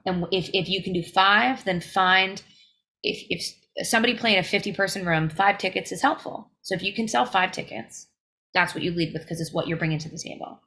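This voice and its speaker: A woman's voice: fairly low pitch, fairly bright tone, slight background noise. A young woman, a touring singer, giving earnest, emphatic advice in a casual podcast interview, with fillers along the way. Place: home recording, podcast interview.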